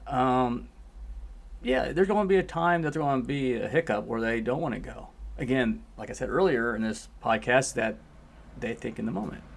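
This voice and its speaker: Husky man coaching parents. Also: mid-range voice, moderate pace. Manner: coaching, asks questions to make a point. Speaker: martial arts instructor, podcast host. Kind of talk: casual podcast chat.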